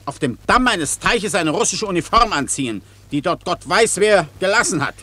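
A pompous Austrian major in a radio drama, with a high, forceful voice. His pitch swings widely as he talks down to people, blustering and sure of himself. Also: lively intonation, moderate pace, no echo, full character voice. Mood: indignant. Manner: pompous, condescending, barking questions. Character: blustering, self-assured Austrian army major, condescending interrogator. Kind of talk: radio play acting.

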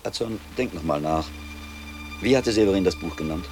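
An actor playing a sharp-minded, impatient medieval scholar monk in a German radio drama, reasoning aloud with deliberate emphasis. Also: male voice, deep voice, expressive, a little hiss or hum. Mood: pondering, brisk. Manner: deliberate reasoning aloud, given to exasperated outbursts.

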